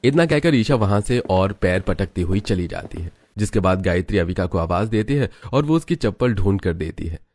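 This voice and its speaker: A man's voice: low-pitched, animated, normal speed, few pauses, darkish tone, dry recording. A man narrating a scripted family story as its storyteller.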